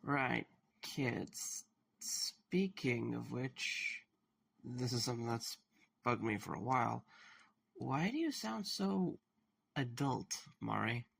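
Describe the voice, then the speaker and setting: Slow, gravelly man acting a wary questioner. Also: mid-range voice, lively intonation, fairly bright tone, full character voice. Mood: curious, pressing. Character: wary, blunt man questioning a haunted puppet. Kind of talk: audio drama dialogue.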